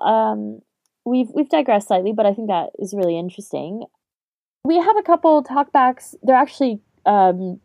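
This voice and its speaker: This is a woman with a distinctly low, warm and relaxed voice. She co-hosts a podcast in a casual discussion style, recorded very dry. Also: creaky, no echo. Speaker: podcast co-host.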